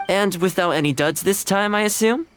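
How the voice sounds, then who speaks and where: A man's voice: sits high, normal speed, fairly bright tone, a little hiss or hum. An actor playing an easygoing, shrewd young male housekeeper in a video game, chatty and self-assured. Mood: firm, satisfied.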